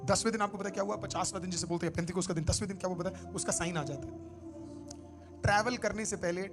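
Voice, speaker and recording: A high-voiced male pastor preaches, dropping to quiet asides and then rising to shouted calls. Music plays clearly behind him throughout the sermon. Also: rapid-fire. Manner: swings from hushed asides to shouted exhortation. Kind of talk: Hindi sermon with English phrases.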